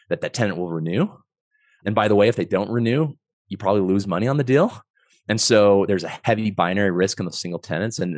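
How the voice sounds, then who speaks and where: Very deep-voiced man with a cold. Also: dry recording, clean studio sound. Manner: measured, analytical, thinks aloud with restarts. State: has a cold. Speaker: podcast guest, real estate investor. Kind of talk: explanatory conversation.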